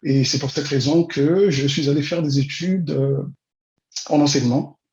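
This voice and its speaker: A man, answering interview questions in a measured, hesitant way with drawn-out pauses. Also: fairly high pitch, a little mellow, slight background noise. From Congo-Brazzaville. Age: 40s. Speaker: interview guest, immigration consultant and teacher. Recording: dull, roomy, echoing sound.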